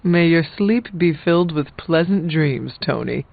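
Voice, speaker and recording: A formal supernatural warrior from a video game, courteous and drily deadpan. The voice is a little high with a gravelly creak, measured in delivery, and thinly recorded. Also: male voice, no echo. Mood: polite, calm. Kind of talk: video game dialogue acting. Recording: tinny band-limited audio, music underneath. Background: background music.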